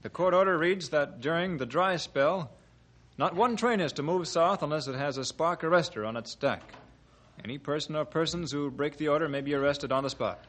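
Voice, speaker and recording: Principled, folksy small-town judge. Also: male voice, on the high side, moderate pace, fairly bright tone. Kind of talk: 1950s radio drama acting. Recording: noisy old radio drama recording.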